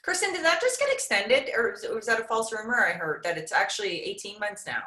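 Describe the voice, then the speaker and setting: A woman's voice: mid-range voice, big pitch swings, a little fast, pushed and bright. A woman with a Canadian accent hosting a casual panel webinar. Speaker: webinar host. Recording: roomy echo on webinar call.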